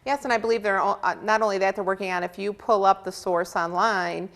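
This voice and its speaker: A woman's voice: medium pitch, steady tone, brisk, fairly bright tone. A woman with a big voice, chatting upbeat as an interview guest from a county office for older adults. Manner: chatty, upbeat, laughs often. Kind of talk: casual interview talk.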